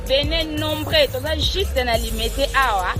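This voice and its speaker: A woman's voice: high voice, measured pace, over music. A woman reading a shop advert in a sing-song, calling-out manner. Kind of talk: radio-style shop advert.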